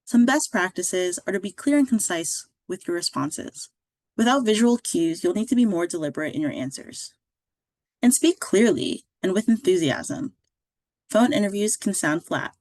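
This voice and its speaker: A woman reading a scripted instructional narration as a career services presenter, in a clear, measured, instructive way. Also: medium pitch, animated, a little mellow, no echo.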